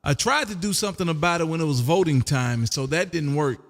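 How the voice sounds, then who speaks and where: An older man around sixty talks in a fairly high-set voice with long reverb around it. He chats in a folksy, rambling way as an amateur radio host, often addressing his listener as "man". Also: expressive, fast. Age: older, about 60. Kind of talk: casual rambling radio chat. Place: home radio room. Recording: long echoing room reverb.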